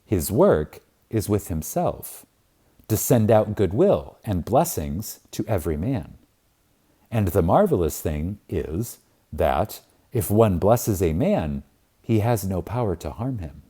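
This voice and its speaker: A man with a low, mellow voice reads a spiritual book aloud for an audiobook. He takes his time, leaning on words with reverent emphasis and long pauses. His intonation rises and falls widely. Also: big pitch swings, slightly rough. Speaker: audiobook reader. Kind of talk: reading a spiritual self-help book aloud.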